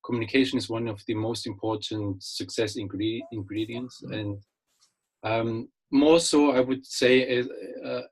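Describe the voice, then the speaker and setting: Husky-voiced German man lecturing. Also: mid-range voice, fairly even intonation, unhurried, poor audio. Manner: hesitant, many fillers and restarts, friendly. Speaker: guest speaker, business lecturer. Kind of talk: webinar talk. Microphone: computer mic on a video call.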